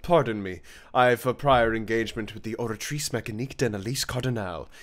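A man's voice: medium pitch, brisk, natural voice. A man playing a stuffy, formal judge with a pompous manner in a comedic roleplay skit. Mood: prim. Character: stuffy, formal male judge with scandalous secret.

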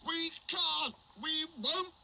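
A man's voice: very high voice, very slow, gravelly, bright, forceful tone, slight background noise, pitched above the performer's own voice, full character voice. A man voicing a group of old, rusty, stubborn goods trucks that groan and shriek.

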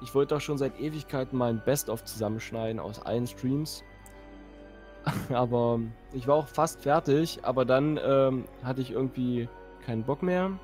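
A man's voice: medium pitch, normal speed, a little mellow. Man chatting over music on a stream. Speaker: live-stream host. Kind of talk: casual live-stream chat.

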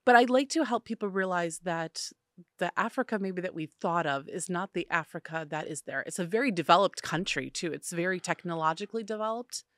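A woman's voice: lowish voice, fairly quick, fairly bright tone, no echo. American woman hosting, dry close sound. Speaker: interview host. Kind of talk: casual conversational interviewing.

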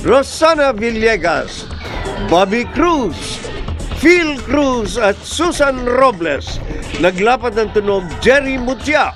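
High-pitched Filipino male announcer over music. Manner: formal, measured announcing. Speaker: radio drama announcer. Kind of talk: scripted radio narration with credits. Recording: loud music bed behind voice.

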